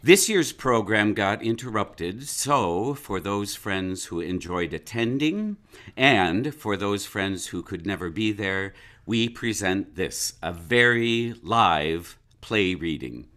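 A man who runs a theater company, chatting slowly and grandly before a live audio play, recorded in a small room at home. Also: lowish voice, animated, fairly bright tone. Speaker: theater artistic director. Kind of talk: pre-show chat with theatrical flourishes.

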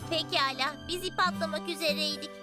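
A woman's voice: high voice, steady tone, brisk, lightly acted. A woman voicing a spirited, playful and outspoken little child in a children's cartoon, with music behind the voice. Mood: matter-of-fact.

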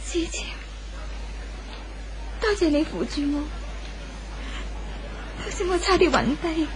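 A noisy old radio drama recording carries a sorrowful young romantic heroine. Her voice is very high, with a Hong Kong Cantonese accent. She speaks reflectively and with measured drama. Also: big pitch swings, full character voice. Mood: shaken, subdued. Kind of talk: 1970s radio drama acting.

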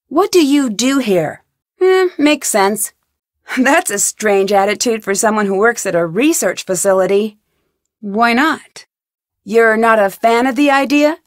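Young woman detective in a mystery game, nosy and direct, slightly high voice, dry close sound. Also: no echo. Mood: curious. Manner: inquisitive, direct questioning. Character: young woman detective, inquisitive, persistent, polite but probing. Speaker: video game voice actress. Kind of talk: video game dialogue lines, investigative questioning.

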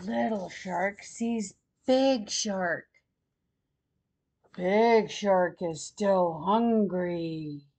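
A woman in her sixties reading a children's storybook aloud in a deliberate, expressive way for young listeners. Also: mid-range voice. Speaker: grandmother host reading picture books to children. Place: small plain room at home, seated in armchair.

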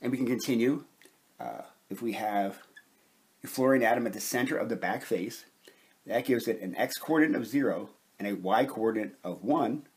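A man with a mid-pitched, husky voice works through a technical lesson at a whiteboard. He explains each step methodically, in the measured manner of an instructor. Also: darkish tone. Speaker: chemistry instructor.

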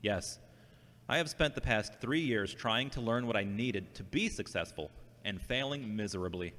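A man's voice: lowish voice, animated, normal speed, fairly bright tone. An actor playing an earnest, defensive, self-doubting man recovering from a brain injury in a scripted audio drama. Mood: downcast, self-critical. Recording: long hall-like reverb.

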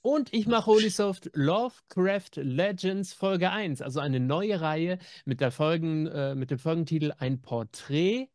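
Male co-host, middle-aged, fairly high voice with a dry room-free sound, jokey casual banter. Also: a little slow, hardly any pauses, a little mellow, no room sound. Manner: jokey, ironic. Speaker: co-host of an audio drama news show. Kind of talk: casual chat. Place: room at home.

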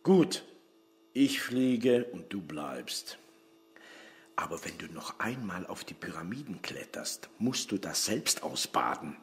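A man's voice: low-pitched, sing-song, slow pace, full character voice. An actor playing a sober, weary middle-aged police detective in a radio play, dry and restrained as he tells and acts his story. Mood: patient, dry. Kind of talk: first-person narration and radio play dialogue.

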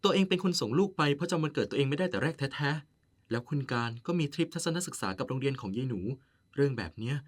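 A man's voice: medium pitch, animated, fast talker, close to an everyday voice. A man playing a caring, nagging, playful partner of an older man in an audio drama, polite yet chiding. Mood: concerned, chiding. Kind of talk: Thai audio drama acting.

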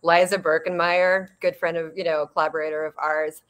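Woman, deep-set pitch, theatre writer, casual conversation, video call. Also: flat delivery, fairly quick, fairly bright tone. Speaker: theatre writer on a discussion panel. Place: indoor room at home, on a video call.

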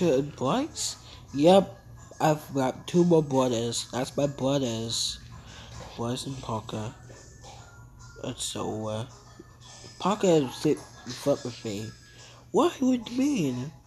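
A woman improvising a cartoon story, rambling playfully and switching into character voices. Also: low-pitched. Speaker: hobby animator narrating and voicing characters. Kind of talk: improvised cartoon play-along narration.

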